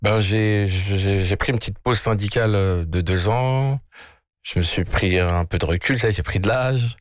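A man in his forties from France, a DJ guest on a radio show, talking in a casual, colloquial, relaxed way. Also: lowish voice, measured pace, dry recording, studio quality. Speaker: studio guest, DJ. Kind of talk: radio interview. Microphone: studio microphone.

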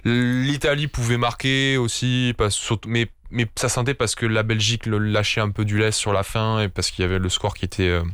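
A man talking football as a studio guest, rushing ahead with restarts and fillers while telling stories. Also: lowish voice, flat delivery, a bit bright, smooth, no echo. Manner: fast, many restarts and fillers, enthusiastic storytelling. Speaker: football pundit. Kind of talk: casual sports talk. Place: radio studio.

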